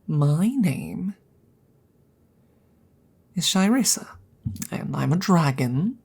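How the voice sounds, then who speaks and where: A woman voicing a polite, earnest, slightly awkward female dragon in an intimate ASMR roleplay, speaking softly and formally with hesitant stammers and frequent whispering. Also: low voice, big pitch swings, extremely slow, heavily acted. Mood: courteous, hopeful. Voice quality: breathy, soft, often slipping into whisper.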